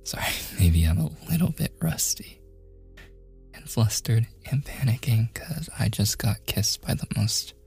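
A man playing a shy, awkward young man in a boyfriend ASMR roleplay, softly stammering and often slipping into whispers. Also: fairly low pitch, big pitch swings, unhurried, vocal fry, breathy edge, faint music behind, heavily acted. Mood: shy, smitten. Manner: hesitant, stammering, self-deprecating joking.

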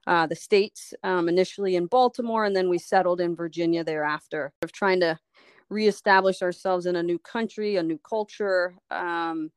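A middle-aged woman from Liberia speaks with a smooth, resonant voice in a dry, close sound. She is a physician telling her story as an interview guest. She talks thoughtfully and often pauses to restart a phrase. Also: lowish voice, fairly even intonation, no echo. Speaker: sports medicine physician, guest. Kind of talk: reflective personal story in an interview.

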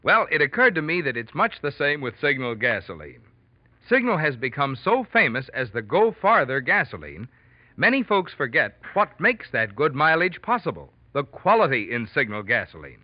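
A man's voice: medium pitch, no room sound. A man working as a radio announcer, reading a scripted 1940s sponsor message in an emphatic, salesmanlike way. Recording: dry, muffled old radio recording.

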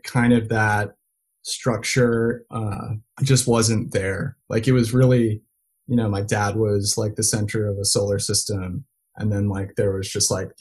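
A man's voice: on the low side, a little mellow, slightly rough. A man telling stories in a casual interview, full of "like" and restarts. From United States and Belgium. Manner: lots of filler likes, restarts. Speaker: interview guest. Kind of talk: casual conversational storytelling.

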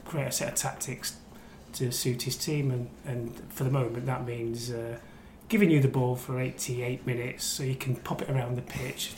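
A man chatting casually about football as a podcast guest, teasing and rambling, often repeating himself. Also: medium pitch. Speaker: crime reporter and podcast guest. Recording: echoey room, faint background noise.

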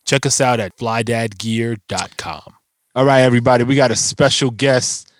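A man's voice: medium pitch, fairly even intonation, normal speed. A man hosting a podcast, reading a sponsor spot and introducing a guest in an enthusiastic, slangy way. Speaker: podcast host.

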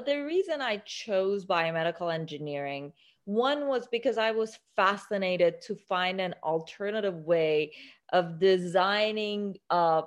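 Young woman, from Iran, interview guest, earnest, halting restarts. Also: mid-range voice, lo-fi sound. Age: young adult. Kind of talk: interview conversation.

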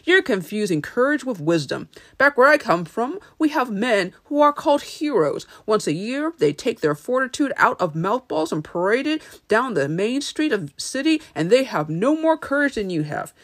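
Woman acting storybook wizard, pompous, declamatory, kindly humbug, somewhat raised pitch. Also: very animated, moderate pace, hardly any pauses. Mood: ceremonious.

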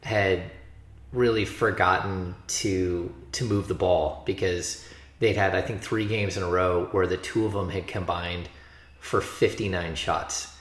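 A man's voice: low-pitched, fairly even intonation, unhurried, a bit bright. A man with an American accent hosting a sports podcast, telling stories in casual conversation. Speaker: podcast host, sports reporter. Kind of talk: casual anecdotal podcast chat. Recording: roomy, echoing sound.

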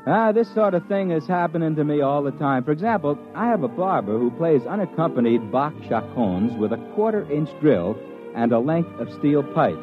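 An actor playing a sardonic, slangy disc jockey telling his story in an old-time radio drama, with drawn-out hesitations. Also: a little high, expressive, over music, close to an everyday voice. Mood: wry storytelling. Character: wry, hip, cash-strapped radio disc jockey narrator. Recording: dull vintage radio recording.

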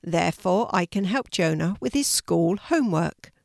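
A woman reads as a kindly young girl in a children's story. She is gentle and coaxing, with an encouraging way of putting things. The sound is dry. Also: lowish voice, expressive, normal speed, gravelly, no room sound.

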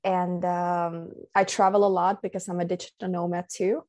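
A woman's voice: fairly low pitch, flat delivery, normal speed, a little mellow. A young woman from Finland, a guest on a podcast, talking casually and thoughtfully with many fillers and restarts.

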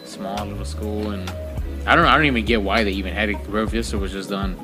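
A man's voice: fairly low pitch, fairly even intonation, a little fast, over music. A man who grew up in Texas and spoke Spanish first, co-hosting a casual podcast chat, blunt and profane, laughing often. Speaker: podcast co-host.

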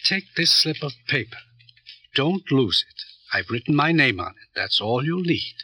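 An actor playing a smug, calculating middleman who runs a barrio, talking in a smooth, condescending, pompous way in an old radio crime drama. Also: medium pitch, sing-song, unhurried, bright, forceful tone, no echo, exaggerated voice.

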